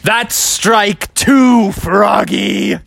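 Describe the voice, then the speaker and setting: A storyteller voicing a stern, dramatic female frog teacher who scolds with drawn-out, emphatic words. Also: mid-range voice, gravelly, a bit bright, dry recording, pitched above the performer's own voice, full character voice. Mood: warning.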